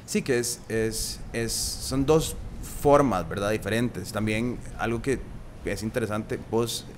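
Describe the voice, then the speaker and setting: A young man with a Costa Rican Spanish accent, hosting a casual interview on a veranda and speaking in animated, slangy bursts. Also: fairly low pitch, takes it slowly, slight background noise. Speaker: podcast host. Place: shaded outdoor veranda. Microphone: studio mic on boom arm.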